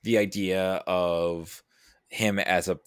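A man in a casual pop-culture discussion, talking haltingly and often rephrasing mid-thought. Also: deep voice, fairly even intonation, smooth, no room sound, studio quality. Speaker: podcast guest.

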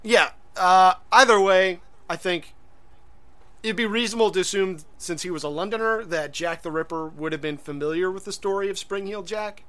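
A man's voice: high-pitched, normal speed, bright, forceful tone. A man co-hosting a podcast in casual chat, rambling and hesitant, full of fillers and restarts. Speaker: podcast co-host.

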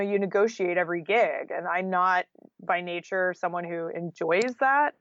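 A woman's voice: on the low side, lo-fi sound. An American woman talking casually and candidly as an interview guest, with an informal style full of "like" and "you know". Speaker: guest, freelance journalist.

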